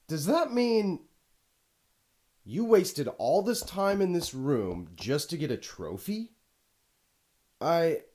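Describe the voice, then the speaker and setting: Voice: medium pitch, darkish tone. An actor playing a pompous, exasperated video game narrator who scolds the player in a dramatic, haughty, petulant way. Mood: weary disbelief. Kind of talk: theatrical scripted game narration.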